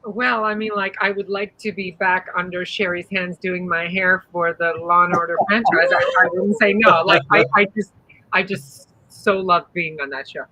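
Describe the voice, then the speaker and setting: Expressive woman on a video call. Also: on the low side, normal speed, a little hiss or hum, poor audio. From Iran. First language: Persian. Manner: expressive, sassy, earnest. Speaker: panel guest, actor. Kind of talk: candid panel conversation. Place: home room.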